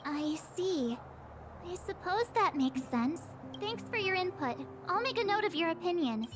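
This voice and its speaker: A woman's voice: very high voice, expressive, a little slow, noisy background. An actress playing a polite, diligent young female adventurer in a video game. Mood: polite, serious. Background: background music.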